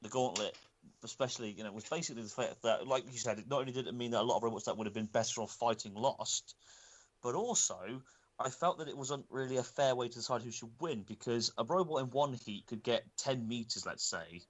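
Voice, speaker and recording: A man in his twenties co-hosting a casual podcast, speaking in a measured, hesitant way with many fillers. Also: medium pitch. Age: 20s. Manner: measured, hesitant, lots of "um" and "literally". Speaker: podcast co-host.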